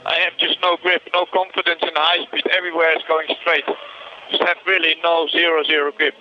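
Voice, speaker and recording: Male racing driver, higher register, speaking on a dull, noisy in-car radio. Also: flat delivery, brisk. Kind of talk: team radio feedback. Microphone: helmet radio microphone. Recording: muffled, noisy car radio with engine noise.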